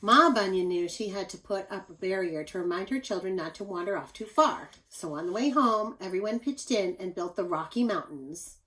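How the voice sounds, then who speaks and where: A woman reads a picture book aloud to children in a mid-pitched voice. Her delivery is expressive and teacherly, and she speaks directly to her young listeners. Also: normal speed, few pauses, low-quality recording. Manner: animated, addresses young listeners with asides.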